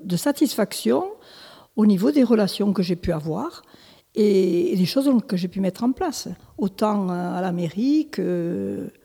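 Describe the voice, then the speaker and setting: A woman's voice: mid-range voice, vocal fry, dark tone, slightly rough, in a large hall. A woman in her seventies, born in Italy, answering interview questions as a village mayor, hesitant with many restarts and fillers. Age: 70s. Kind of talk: local interview.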